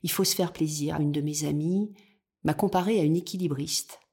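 A woman's voice: low voice, normal speed, warm tone, slightly rough. A woman in her fifties, an entrepreneur guest, telling her own story in a reflective, determined way. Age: 50s. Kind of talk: personal storytelling in interview.

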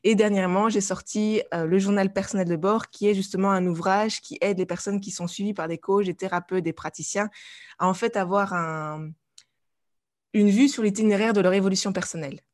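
A woman's voice: medium pitch, steady tone, brisk, a little mellow. A woman, a guest in a podcast interview, talking hesitantly with many fillers and restarts. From Belgium. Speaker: guest, life coach and artist. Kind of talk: conversational interview.

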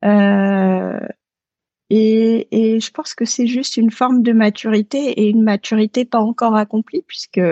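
A woman's voice: medium pitch, warm tone, breathy edge, no echo, muffled recording. A French woman in her thirties, a podcast guest talking casually and hesitantly, with many fillers and restarts. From France. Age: 30s. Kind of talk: casual interview talk.

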